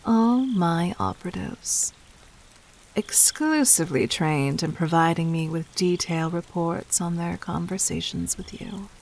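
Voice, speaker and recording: A woman playing a regal, cunning, gently dominant demon queen in a roleplay audio drama, speaking formally and intimately with measured, breathy poise. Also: lowish voice. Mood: poised, knowing. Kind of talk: scripted roleplay audio drama addressed to the listener.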